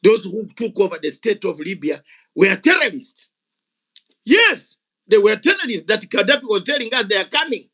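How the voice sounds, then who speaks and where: A man's voice: sits high, sing-song, normal speed, sharp tone. An older man speaking Ugandan English, giving an impassioned, unscripted speech at a gathering. He is fiery and emphatic, repeating words for force. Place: gathering of activists.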